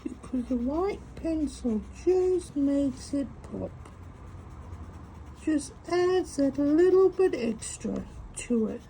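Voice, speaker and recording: A woman's voice: high voice, lively intonation, slow pace, warm tone, a little hiss or hum, low-quality recording. A woman with a stutter, chatting casually to camera while she draws and recorded on a phone. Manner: reflective, talks to herself while drawing. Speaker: tangle art vlogger.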